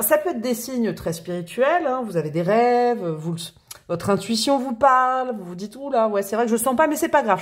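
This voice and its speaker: A woman doing a casual, chatty tarot reading to camera, with expressive, playful emphasis. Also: fairly high pitch. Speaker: tarot reader.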